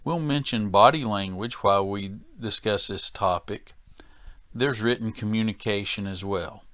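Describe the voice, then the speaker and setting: A man teaching as a safety training instructor in a plain-spoken, deliberate, folksy manner. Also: fairly low pitch, fairly bright tone, dry recording. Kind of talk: instructional presentation to workers.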